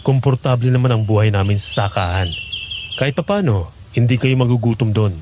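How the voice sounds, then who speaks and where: A man's voice: medium pitch, lively intonation, fairly quick, noisy background, close to an everyday voice. A man playing an earnest, kind young farmer in a horror audio drama, talking in a friendly, loyal way.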